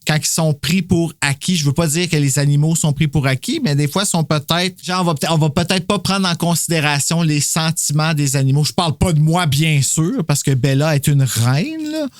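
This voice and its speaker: A man with a fairly high voice and a Quebec French accent, recorded very dry. He hosts a podcast in an informal, colloquial, chatty way. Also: moderate pace, few pauses, no echo. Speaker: podcast host. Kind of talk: casual after-show chat.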